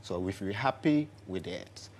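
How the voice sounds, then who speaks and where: Brief: middle-aged man from Senegal, lowish voice, halting friendly explaining, faint studio hum. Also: expressive. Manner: warm, halting, with many fillers and restarts. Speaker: dance teacher and guest. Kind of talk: studio interview.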